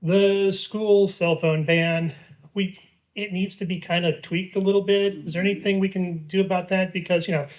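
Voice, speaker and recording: A man in his fifties whose voice sits a little high for a man. He asks his question at a library town hall in a loose, rambling way. He stops and restarts often, with plenty of fillers. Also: fairly even intonation, normal speed, muffled recording. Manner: casual, rambling, hesitant with many fillers. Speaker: constituent asking a question. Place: public library meeting room.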